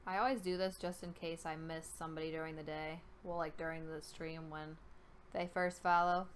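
Low-voiced young New York woman streamer, noisy audio. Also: flat delivery, normal speed. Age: young adult. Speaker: live streamer. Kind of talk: casual live-stream chat, reading out usernames. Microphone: headset.

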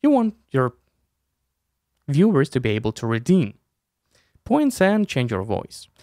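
Low-voiced man with lively, gravelly delivery. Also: measured pace, no echo, studio quality. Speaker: tutorial host. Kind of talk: step-by-step software tutorial. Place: small room indoors. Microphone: close desk mic with headset.

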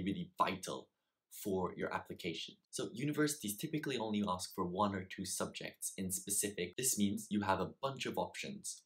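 Young male student vlogger, deep, plain advice tone, home study, earbud mic. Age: young adult. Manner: earnest, explanatory, repeats "really" for emphasis. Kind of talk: direct-to-camera advice vlog. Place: small home study room with shelves.

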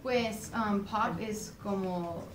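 A woman's voice: medium pitch, extremely slow. A young woman, a singer answering interview questions and hesitating as she searches for words. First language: English. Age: young adult. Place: dim indoor room. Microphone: far camera. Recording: echoey room, far-off camera mic, clear background noise.

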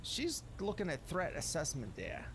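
A man's voice: a little high, wildly swooping pitch, moderate pace, background noise. A man giving casual video game commentary in folksy, slangy speech, muttering along to himself as he plays. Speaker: gameplay streamer.

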